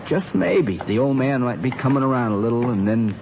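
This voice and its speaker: An actor playing a wisecracking, sympathetic American teenage pal in a radio drama, with slangy, wry delivery. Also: male voice, medium pitch, lively intonation, brisk, lightly acted. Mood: wry, commiserating. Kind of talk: mid-century American radio drama acting. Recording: muffled, noisy old radio recording.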